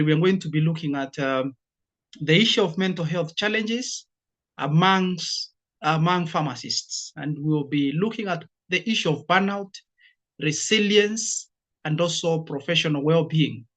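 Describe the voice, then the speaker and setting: A man, a university counselor giving a formal presentation and laying out his points in a measured, numbered order. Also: fairly high pitch, fairly bright tone.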